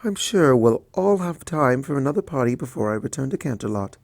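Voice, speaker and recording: A man voicing a gentle, regal, motherly pony princess in a fanfic reading, calm, hushed and kindly. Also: medium pitch, brisk, gravelly, no echo.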